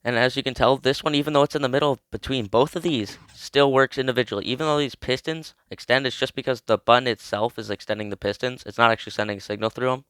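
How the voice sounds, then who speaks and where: A man's voice: mid-range voice, steady tone, fast, few pauses, fairly bright tone, smooth, no room sound. A young man with a cold, casually walking through a step-by-step tutorial in a chatty, informal way. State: has a cold. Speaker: video creator explaining a game build.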